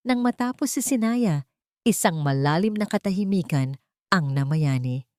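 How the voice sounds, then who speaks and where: Low-pitched Filipino woman narrating, dry close sound. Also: expressive, moderate pace, darkish tone, dry recording. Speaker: story narrator. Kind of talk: scripted dramatic audiobook narration.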